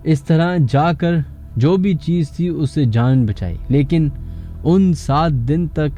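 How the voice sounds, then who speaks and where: A Pakistani man presents a late-night horror show on the radio. His tone is soft and dark at a middling pitch, and music plays clearly behind him. He speaks as a host addressing listeners and callers. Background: background music.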